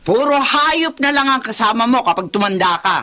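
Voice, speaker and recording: A woman's voice: a little high, expressive, normal speed, over loud music, lightly acted. An actress playing a middle-aged Filipino mother in a drama, scolding and exasperated but caring. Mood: exasperated, nagging. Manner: scolding, complaining. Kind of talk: Filipino radio-style drama acting.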